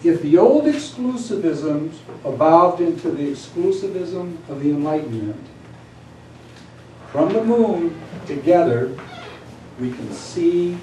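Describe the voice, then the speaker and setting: A man recites epic poetry very slowly in a high voice, solemn and grandiose. He is heard from a distance in a roomy, echoing space. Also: lively intonation, a little mellow. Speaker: poet reading own work. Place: indoor venue at a live reading. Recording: echoey room from far off, background noise.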